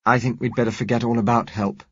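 A man reading aloud, voicing a spirited young Englishwoman in clipped, brisk lines. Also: medium pitch, level tone, a bit bright, breathy edge, dull audio, pitched above the performer's own voice.

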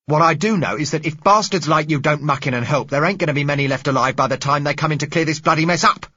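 A man voicing an angry street agitator of about thirty, whose speech is a mix of rough and educated and who shouts passionately. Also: fairly high pitch, fast, dry recording, voice pushed up. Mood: contemptuous. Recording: dull, slightly lo-fi recording.

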